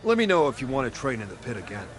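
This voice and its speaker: Actor as a hearty, animated pit-fight trainer. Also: male voice, medium pitch, rapid-fire, background noise, close to an everyday voice. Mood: encouraging. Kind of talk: video game dialogue.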